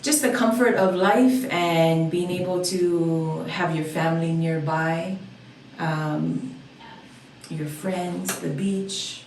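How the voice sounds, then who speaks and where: Friendly woman guest from Hawaii; somewhat low voice, recorded at a distance in an echoey room. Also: takes it slowly, a little mellow, slight background noise. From Oahu, Hawaii. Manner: friendly, easygoing, with frequent fillers. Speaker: dance instructor, guest. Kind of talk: casual interview answers.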